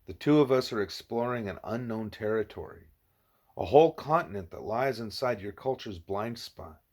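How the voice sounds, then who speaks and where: A man voicing a grave, patient, wise animal teacher in an audiobook, speaking in a measured, formal, didactic way. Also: fairly low pitch, lively intonation, darkish tone. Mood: patient, explaining.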